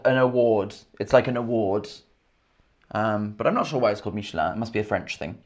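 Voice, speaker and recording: A man with an English accent teaches English on a live stream, speaking slowly and clearly. His pitch rises and falls with a lot of movement as he repeats each question for learners. He stops often to greet and thank viewers from the chat. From England. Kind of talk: live online English lesson, reading chat comments. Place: indoors, in Scotland.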